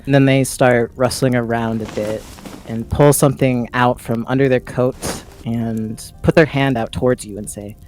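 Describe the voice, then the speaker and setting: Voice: medium pitch, steady tone, moderate pace, darkish tone. A tabletop show player narrating a character's actions in improvised, storytelling fashion. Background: music.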